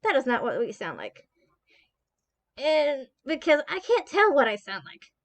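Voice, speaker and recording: A woman's voice: high-pitched, big pitch swings, a little slow, no echo. A woman from Texas hosting her channel, chatting casually in a rambling way, with frequent swearing and restarts. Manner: rambling, profane, self-interrupting. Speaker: channel host. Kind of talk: casual chat.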